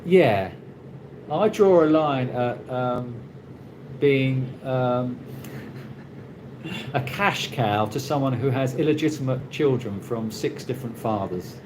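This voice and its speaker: A British man hosting a casual live chat, reading out viewer comments and answering in a rambling, halting way with many restarts. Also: mid-range voice. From Britain. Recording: noisy ambient background.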